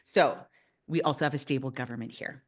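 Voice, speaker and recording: Canadian lady in her 50s hosting a webinar, low voice, upbeat and excited. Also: brisk. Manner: upbeat and enthusiastic. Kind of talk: presenting a webinar.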